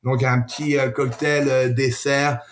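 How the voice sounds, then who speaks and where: Man with an even, halting delivery. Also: mid-range voice, a little hiss or hum. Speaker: gin brand representative, interview guest. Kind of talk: conversational product presentation in an interview.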